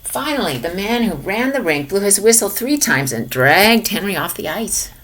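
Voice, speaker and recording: A woman reading a children's picture book aloud in an expressive manner, sometimes dropping to hushed tones. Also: medium pitch, moderate pace, low-quality recording. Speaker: storybook reader for a children's lesson.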